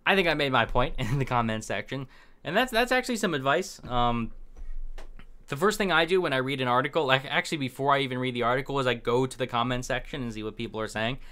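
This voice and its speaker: A man's voice: mid-range voice, a bit bright, clear, resonant tone. A young man riffing unscripted on camera in a small room at his desk, rambling with fillers and restarts. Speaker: political commentary vlogger. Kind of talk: unscripted casual commentary.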